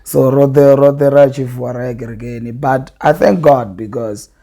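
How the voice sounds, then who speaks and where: A South African man with a husky voice, a gospel singer talking as a podcast guest in a relaxed, soft-spoken way that often trails off quietly. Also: mid-range voice, unhurried. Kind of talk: casual interview chat.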